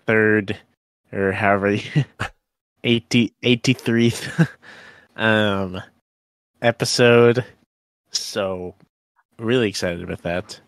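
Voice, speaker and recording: A low-voiced man who talks slowly and sounds cheerful as he rambles through a casual podcast chat. His voice is dry and close to the mic. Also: no room sound. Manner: upbeat, laughs while rambling. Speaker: podcast co-host.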